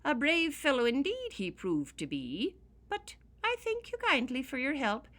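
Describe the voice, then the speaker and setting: A woman voicing a tiny, sly male leprechaun in a storybook reading, with a high, squealing, loud voice. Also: huge pitch swings, a little slow, exaggerated voice.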